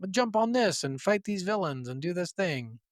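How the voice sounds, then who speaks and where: A man's voice: high-pitched, very animated, moderate pace, dry recording. Casual man on a dry, close recording. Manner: profane, informal slangy talk. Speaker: podcast co-host. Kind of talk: casual gaming podcast chat.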